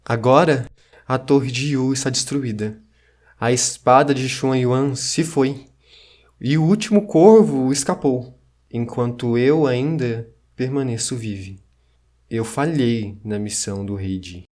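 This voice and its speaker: A man's voice: medium pitch, a little slow, a little mellow, natural voice. A man playing an ancient Chinese court officer, a regretful guardian, in a formal scripted testimony. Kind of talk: scripted first-person testimony narration.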